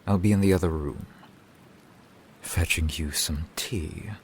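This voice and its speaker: A man playing a gruff, grumbling but reluctantly kind fantasy town blacksmith in a slow, dry ASMR roleplay. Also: low voice, slight background noise. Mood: exasperated, dry. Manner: curt, grumbling, dry, wordy sarcasm. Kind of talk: ASMR roleplay, slow scripted character acting. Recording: steady rain sound behind the voice. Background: rain falling.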